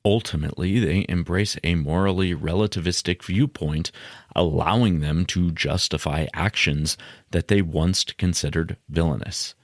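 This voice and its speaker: A man hosting a podcast, giving scripted explanations in a measured, instructional way. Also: sits low, no echo, clean studio sound. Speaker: podcast host.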